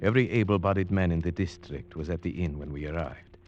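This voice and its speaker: A man playing a cultured, brooding huntsman who narrates gravely and formally in an old radio drama. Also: deep voice, quick pace, darkish tone, dull audio, faint music behind, close to an everyday voice. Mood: grave narration. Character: cultured Slavic huntsman, brooding, at home in forests. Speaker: narrator and character. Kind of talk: 1940s-50s radio drama acting.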